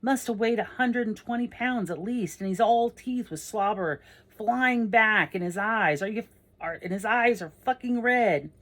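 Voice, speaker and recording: Casting: female narrator as excitable, foul-mouthed teen boy, audiobook dialogue, emphatic and slangy, raised voice. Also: fairly high pitch, a little slow, pitched above the performer's own voice. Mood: excited, alarmed. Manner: emphatic, slangy, self-correcting. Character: excitable, foul-mouthed teenage boy telling a tall story.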